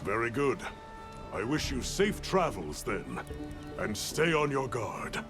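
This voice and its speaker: An actor playing a senior scholarly guild professor in a video game, speaking in a formal, measured, authoritative way. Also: male voice, medium pitch, sing-song, over music. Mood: formal, commanding. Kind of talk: video game cutscene acting.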